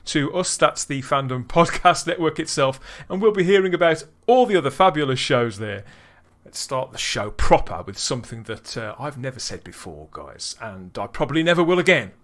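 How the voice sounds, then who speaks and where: A man hosting a podcast, chatting casually and delivering upbeat, theatrical links with quick laughs. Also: mid-range voice, sing-song, fairly bright tone. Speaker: podcast host.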